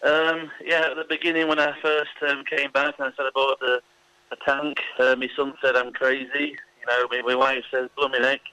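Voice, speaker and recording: A man's voice: medium pitch, level tone, moderate pace, pushed and bright, smooth, low-quality recording. A middle-aged man being interviewed on the radio, chatty and hesitant, with many ums. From England. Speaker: interview guest.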